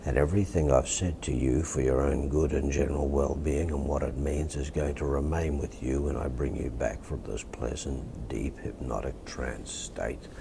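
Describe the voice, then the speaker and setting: A softly spoken Australian man with a deep, gravelly voice, speaking as a hypnotist in a measured, soothing, suggestive manner. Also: steady tone, noisy background.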